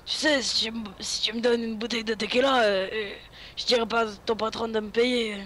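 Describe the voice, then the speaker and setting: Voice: mid-range voice, fairly even intonation, a little fast, a bit bright. Playful, rambling co-player with slight hiss. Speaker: guest co-player. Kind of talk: casual co-op gameplay chat.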